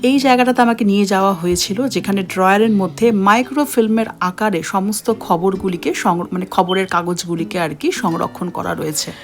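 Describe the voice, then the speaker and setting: A woman's voice: medium pitch, fast talker, few pauses, faint music behind. A woman with an Indian Bengali accent, narrating a vlog as if talking straight to her viewers. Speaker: travel vlogger. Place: outdoors in a city square and voice-over.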